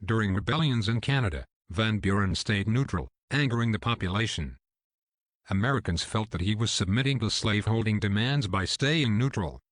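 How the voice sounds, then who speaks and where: Guy narrating a history script, kinda low voice, dry recording. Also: normal speed, a bit bright, no echo.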